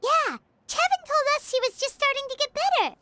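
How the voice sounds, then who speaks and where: A woman voicing a small, cheerful, eager fairy companion with a squeaky childlike voice in a video game. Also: sing-song, fairly quick, heavy background noise. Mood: curious. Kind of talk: animated video game dialogue.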